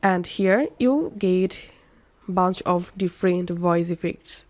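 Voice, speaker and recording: Woman giving a step-by-step software tutorial. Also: on the low side, slow pace. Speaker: tutorial host.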